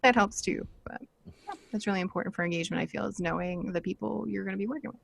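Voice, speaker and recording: A woman podcaster talking casually on a live panel, chatty and quick to laugh. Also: mid-range voice, vocal fry.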